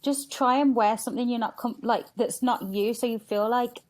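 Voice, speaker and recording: Higher-voiced woman, informal podcast guest. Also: fairly even intonation, moderate pace, a little mellow. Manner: informal, peppered with "like". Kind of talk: casual chat.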